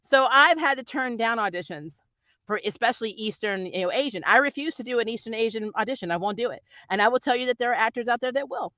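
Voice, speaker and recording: A woman's voice: on the high side, animated, fast talker, bright, forceful tone, no echo, low-quality recording. Chatty, sweary woman, dry close sound. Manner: rambling, candid and sweary, chuckling often. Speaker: host of a TV and film review channel; actor who auditions. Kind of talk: casual unscripted livestream chat.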